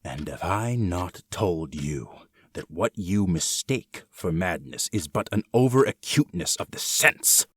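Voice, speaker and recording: A man playing an unhinged, nervous, murderous narrator in a dramatic gothic horror story reading, with theatrical, deliberate delivery and drawn-out words. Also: sits low, lively intonation, fairly bright tone, husky, dry recording, clean studio sound, full character voice. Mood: hushed, creepy.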